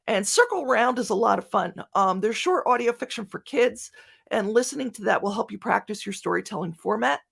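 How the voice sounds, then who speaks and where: A woman's voice: medium pitch, animated, fairly quick, gravelly, a bit bright, husky. A woman giving an informal online conference talk in a chatty, self-deprecating way, with joking asides. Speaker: conference speaker, writer and podcaster. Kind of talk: informal conference presentation and question answering.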